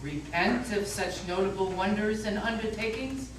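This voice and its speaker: A woman's voice: mid-range voice, moderate pace, lightly acted. A woman playing a stern old male Puritan judge in a dramatic stage reading, harsh and declamatory. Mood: fanatic fervour. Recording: echoey hall from far off, faint noise.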